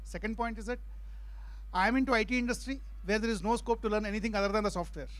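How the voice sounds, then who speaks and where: A man's voice: high voice, quick pace. A man from the audience, politely asking a question and listing his points.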